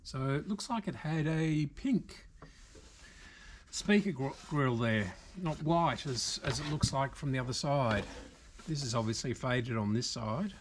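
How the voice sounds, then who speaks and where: An Australian man giving casual, unscripted hands-on repair commentary, halting now and then as he thinks aloud. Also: medium pitch, a little hiss or hum. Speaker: video host, radio repairer. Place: indoor workshop.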